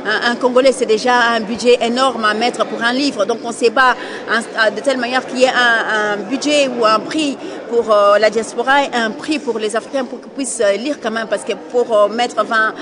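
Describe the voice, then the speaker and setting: Woman author, bit high, lots of ums, noisy crowd behind. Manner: hesitant, many fillers. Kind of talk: interview answers. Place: indoor book fair hall. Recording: busy crowd chatter behind.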